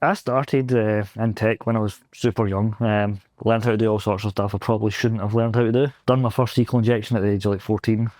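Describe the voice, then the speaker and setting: A man's voice: on the low side, steady tone, fast talker, hardly any pauses, a little mellow, no echo. A young Scottish man with a Glaswegian accent, chatting casually and self-deprecatingly as an interview guest. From Scotland. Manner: rambling, self-deprecating, laughs often. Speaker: pentest engineer guest. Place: convention floor.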